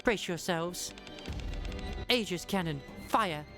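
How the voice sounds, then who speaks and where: Woman acting a low-voiced, stoic female naval commander. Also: lively intonation, takes it slowly, over music. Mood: commanding. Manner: formal, commanding, earnest. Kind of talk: anime game fandub acting.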